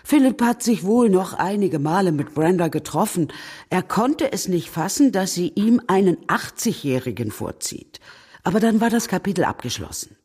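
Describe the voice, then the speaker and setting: A woman playing a brisk older spinster aunt in a radio crime drama, confiding family matters matter-of-factly. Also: on the low side, sing-song, a little mellow, breathy edge.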